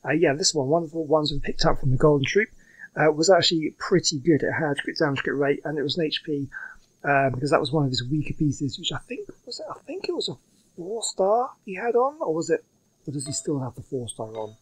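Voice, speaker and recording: Chatty higher-pitched man narrating gameplay. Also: lively intonation, a little fast, low-quality recording. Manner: rambling, chatty, hesitant. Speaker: gaming video host. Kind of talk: casual gameplay commentary.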